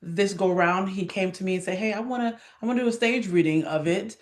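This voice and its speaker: An enthusiastic woman chats casually as an interview guest. Her voice sits at a middle pitch, and the recording is noticeably roomy and echoey. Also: fast talker. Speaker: interview guest, theater director. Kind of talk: casual promotional interview chat.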